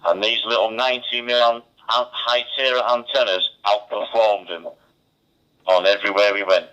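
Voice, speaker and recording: A man's voice: fairly low pitch, steady tone, normal speed, pushed and bright, smooth. A man chatting casually as an amateur radio operator, heard over a two-way digital radio. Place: mobile radio station. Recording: dull, band-limited two-way radio transmission.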